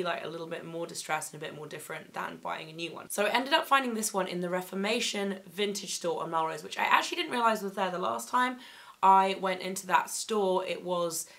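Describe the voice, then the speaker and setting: A young woman with a fairly low voice, still a little croaky from losing it to illness. She chats eagerly and casually through a fashion haul, filling the gaps with ums and likes. She is recorded on a camera mic in her bedroom. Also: lively intonation, fairly quick, a bit bright. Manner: chatty, enthusiastic, many ums and likes. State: slightly croaky after laryngitis. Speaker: fashion vlogger. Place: bedroom, indoors.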